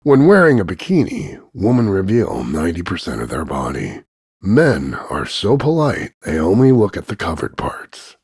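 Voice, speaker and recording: A man voicing a cocky, crude macho action hero, gravelly and husky, delivering smug deadpan one-liners in a slow drawl of punchlines. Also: low voice, no room sound, studio quality, heavily acted. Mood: deadpan, mocking. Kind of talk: voice-over demo of insults and one-liners.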